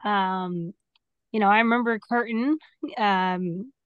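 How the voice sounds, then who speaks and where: A woman's voice: mid-range voice, fairly even intonation, slow, clean tone, dry recording. A young adult woman chatting casually as a guest on a video call. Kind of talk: casual chat. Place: small indoor room on a video call. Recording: dull, lo-fi video-call audio.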